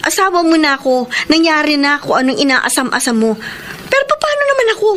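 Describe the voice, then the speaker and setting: A young Filipina wife who studies and feels put upon. Her voice is high, defensive and exasperated in a radio soap style, over faint background noise. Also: sing-song, fast. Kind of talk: Filipino radio drama acting.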